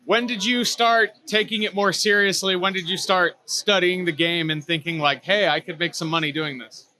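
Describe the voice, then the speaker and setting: A man's voice: sits high, fairly even intonation, normal speed, pushed and bright. Young male interview host. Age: 20s. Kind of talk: scripted intro, then casual interview. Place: on a cruise ship.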